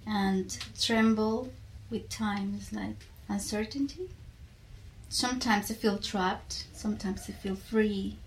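A woman's voice: medium pitch, fairly even intonation, takes it slowly, poor audio. A woman from Colombia with a Colombian accent and Spanish as her first language, speaking reflectively in an interview and searching for words. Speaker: podcast guest.

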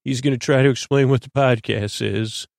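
A man with a creaky voice hosting a bedtime podcast, rambling in a halting, meandering way with frequent stutters. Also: mid-range voice, fairly even intonation, a little mellow, dry recording, clean studio sound. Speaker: podcast host.

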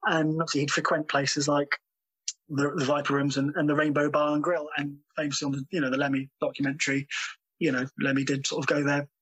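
A man's voice: a little high, flat delivery, fast talker, a bit bright, slightly rough, rough recording. A man, a drummer answering questions in a casual interview. He hesitates often, fills gaps with ums and laughs easily.

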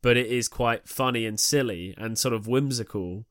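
A man's voice: medium pitch, normal speed, fairly bright tone, dry recording, studio quality. A man co-hosting a casual analytical discussion, thoughtful and discursive in the way he builds his points. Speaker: podcast co-host.

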